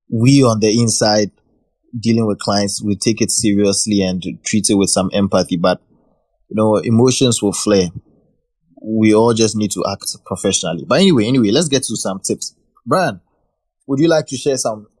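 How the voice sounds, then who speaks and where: A man's voice: on the low side, darkish tone, dry recording. A man hosting a casual live audio chat, friendly and joking, often repeating words as he gathers his thoughts. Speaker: host. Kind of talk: casual discussion.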